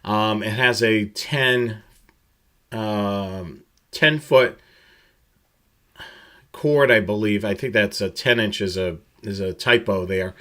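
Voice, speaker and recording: A man presenting a product tutorial, explaining gear slide by slide in a halting, steady, instructional way. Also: on the low side.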